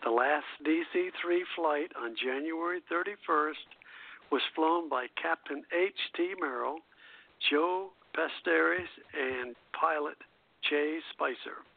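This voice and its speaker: A man's voice: a little high, takes it slowly, vocal fry. An older man hosting a nostalgic radio show, moving between scripted presenting and casual chat. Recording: narrow phone-line radio audio.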